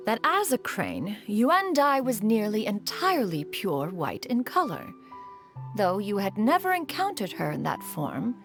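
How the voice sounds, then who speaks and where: An actress playing a proud, wise crane immortal, a caring elder master who speaks in formal, archaic phrases in a video game. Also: female voice, medium pitch. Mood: measured, reflective. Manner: formal, stately, archaic diction. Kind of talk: scripted game dialogue acting.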